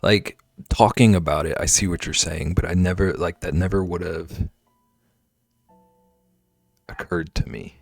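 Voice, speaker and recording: A man's voice: low voice, unhurried, gravelly, pauses often, breathy edge, no echo, faint music behind. A man streaming live, chatting casually and playfully with viewers while he draws. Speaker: livestreamer.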